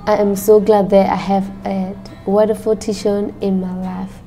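A teenage girl from Burma reads her personal story as a high school student, in careful, simple phrasing. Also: level tone, moderate pace, dark tone, faint music behind. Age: late teens.

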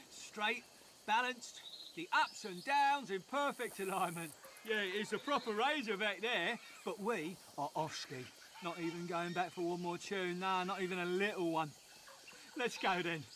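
Hedonistic British raver, high bright voice, rambling, slangy, game character. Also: male voice, slight background noise. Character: manic hedonistic party-loving male DJ, chatty, unrepentant.

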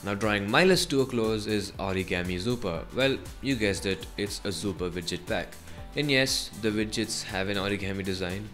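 A man's voice: fairly low pitch, moderate pace, fairly bright tone. A man presenting a tech video as a scripted voice-over, reviewing in a narrator's style. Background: background music.

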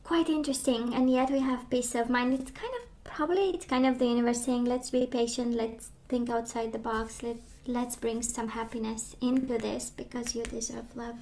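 A woman giving a casual tarot reading, chatty and reassuring, her voice strained as she loses it and clears her throat. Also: on the high side, steady tone, normal speed, few pauses, warm tone, slight background noise. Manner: chatty, reassuring, many fillers and restarts. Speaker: tarot reader. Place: indoors at a table of tarot decks.

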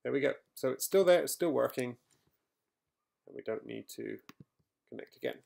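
A middle-aged man recorded at home, talking through a software tutorial as he types, with fillers and quick self-corrections. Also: mid-range voice, lively intonation, gravelly. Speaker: software trainer. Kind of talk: screencast coding tutorial. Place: quiet home room.

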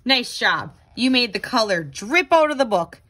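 A woman reading a children's picture book aloud in an expressive, dramatic, exclaiming way. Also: on the high side, moderate pace. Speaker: storybook reader.

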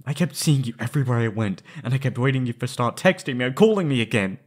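Man acting an anguished lovesick young man, swooping pitch. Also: animated, lightly acted. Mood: agitated, hurt. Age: young adult. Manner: emotional, halting, pleading. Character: anguished, lovesick young man, former captive confessing love. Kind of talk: intimate roleplay audio acting.

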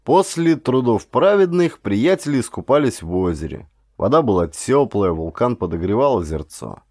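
Guy narrating an audiobook, expressive storyteller, really lively intonation, mid pitch. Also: normal speed, no room sound, studio quality. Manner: expressive, acts out dialogue. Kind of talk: dramatized scripted storytelling narration.

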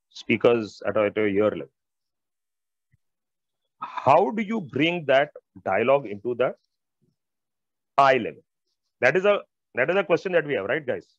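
A man's voice: medium pitch, no room sound. Middle-aged Indian-accented man, dull call audio. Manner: emphatic, repeats phrases, addresses listeners directly. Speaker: audio expert presenter. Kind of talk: informal technical explanation on a video call. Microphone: wired earphones on a video call. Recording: dull band-limited video-call audio.

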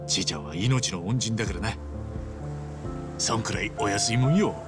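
An actor playing a rough, big-hearted, earnest former gangster in a video game drama, with blunt, casual street speech. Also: male voice, lowish voice, normal speed, over loud music, natural voice.

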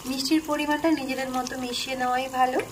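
Woman, Bengali accent, high voice, calm step-by-step cooking narration, faint frying in the background. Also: racing, background noise. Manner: calm, plain instructional tone, listing ingredients. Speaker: cooking channel host. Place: home kitchen, by the stove.

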